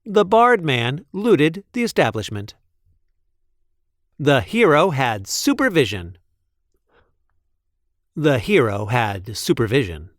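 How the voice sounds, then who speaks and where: Animated man reading aloud, slow and deliberate. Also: medium pitch, dry recording, studio quality. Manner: deliberate, playful emphasis on repeated sentences. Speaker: read-aloud storyteller. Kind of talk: expressive picture book read-aloud.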